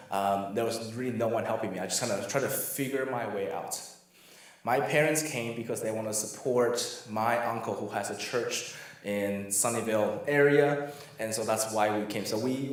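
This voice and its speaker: A middle-aged man from Taiwan who moved to the US as a child, giving an informal talk as a guest workshop speaker. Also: fairly low pitch. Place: reverberant room in a church. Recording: very echoey church room.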